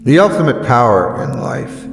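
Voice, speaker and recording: A man reading motivational sayings in a solemn, deliberate and emphatic way, with weighty pauses, like a dramatic speech. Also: mid-range voice, sing-song. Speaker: narrator of motivational quotes. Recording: echoey long reverb over music. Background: background music.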